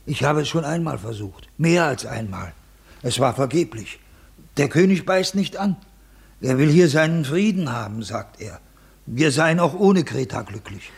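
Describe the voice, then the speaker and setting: An actor playing an insistent, weary ship's captain with a hoarse voice in a 1950s radio drama. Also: male voice, a little high, animated, moderate pace, heavily acted. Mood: urging. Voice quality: hoarse, gravelly.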